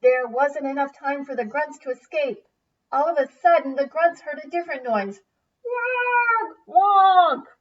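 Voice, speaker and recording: A woman's voice: high voice, normal speed, a little mellow. A woman teacher reading a children's picture book aloud expressively, in a distant, slightly muffled recording.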